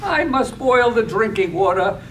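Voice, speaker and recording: A man's voice: high voice, sing-song, measured pace, fairly bright tone, heavily acted. A man playing a meek, henpecked dead husband, fussily and submissively reciting chores in a staged radio play reading. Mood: meek, dutiful. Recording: distant, echoey room with faint noise.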